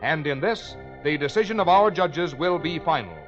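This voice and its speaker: Old radio announcer guy, kinda high voice, formal and precise, muffled recording w faint music. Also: animated. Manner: formal, deliberate enunciation. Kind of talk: 1950s radio announcing, scripted narration.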